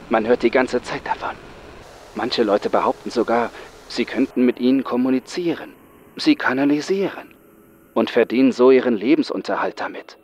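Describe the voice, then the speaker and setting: A man acts out a young male psychiatric patient in a horror story. He is bitter, frightened and haunted, speaking with intense dramatic pauses over music. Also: medium pitch, voice pushed up, close to an everyday voice. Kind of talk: horror audiobook acting.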